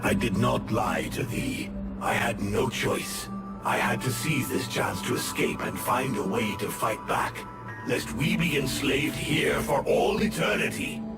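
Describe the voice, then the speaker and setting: An actor playing an ancient, wrathful demon in a game cutscene, grandiose and theatrical with archaic diction. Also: male voice, mid-range voice, expressive, unhurried, recorded from a distance. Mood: grave, pleading. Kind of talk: dramatic game cutscene acting in archaic English. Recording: roomy echo, loud music behind.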